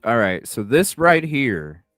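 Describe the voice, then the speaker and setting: A man hosting a livestream with casual, halting reaction commentary, often repeating himself. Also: mid-range voice, big pitch swings, a bit bright, studio quality. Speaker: livestream host. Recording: roomy, music playing behind. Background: background music.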